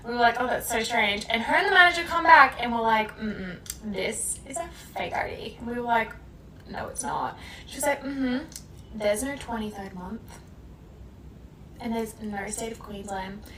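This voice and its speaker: An Australian woman with a slightly high voice retells a frustrating night out, sounding exasperated. She is recorded from across an echoey room. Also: fairly bright tone, distant mic, slight background noise. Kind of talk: storytelling anecdote.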